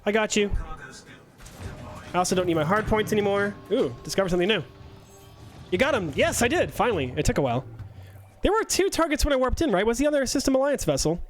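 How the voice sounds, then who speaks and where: A man's voice: high voice, very animated, quick pace, pauses often, faint music behind. A young man streaming a game live, chatting with his viewers in a joking, self-mocking way. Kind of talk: casual live-stream chat while playing.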